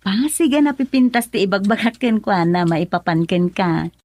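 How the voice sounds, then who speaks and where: An actress playing a teasing woman in an Ilocano radio love drama, with a husky voice and an Ilocano accent. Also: mid-range voice, animated, moderate pace, a little mellow, dry recording.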